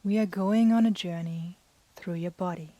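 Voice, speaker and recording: A woman guiding a yoga nidra meditation in a soft, breathy, soothing manner with long pauses. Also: on the low side, dry recording, dull audio. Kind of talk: guided meditation.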